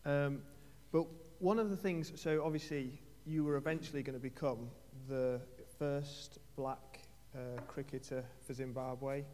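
A man's voice: mid-range voice, fairly even intonation, measured pace, few pauses, darkish tone. A man interviewing a guest, asking long, rambling questions with frequent hesitations. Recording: echoey hall.

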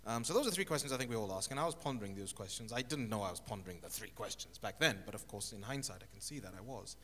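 A middle-aged man from Zimbabwe, a guest telling his life story in an interview, with thoughtful, halting speech and many restarts. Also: lowish voice, lively intonation, fairly bright tone. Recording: echoey hall with faint noise.

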